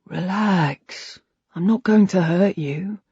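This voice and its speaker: A woman reading the lines of a threatening man from the narrator's past, low and sneering. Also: slow, mellow, breathy edge, dry recording, full character voice. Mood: soothing. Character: menacing man from her past, mocking. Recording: dull lo-fi sound.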